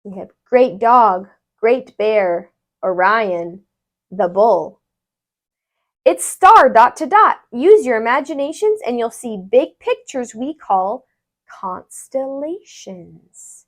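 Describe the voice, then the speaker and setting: A woman tutor reading a rhyming children's book aloud, expressively and deliberately, with sing-song phrasing. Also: on the high side, sing-song.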